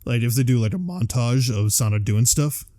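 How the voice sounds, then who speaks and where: A man co-hosting a casual conversation, speaking like an enthusiastic, animated fan who swears casually. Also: medium pitch, normal speed. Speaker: podcast co-host. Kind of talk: casual chat about video game movies.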